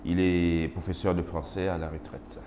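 A middle-aged man from Côte d'Ivoire with an Ivorian French accent, whose first language is Baoulé, answering formal interview questions in a measured way with many hesitations. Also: low-pitched, flat delivery. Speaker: interviewee, magistrate. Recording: noisy roomy recording with long reverb.

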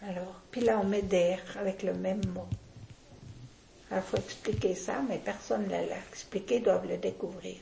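An older woman, talking deliberately and with firm opinions in a casual interview, often restarting her sentences. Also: mid-range voice, fairly even intonation, gravelly, dark tone, reverberant, slight background noise, low-quality recording.

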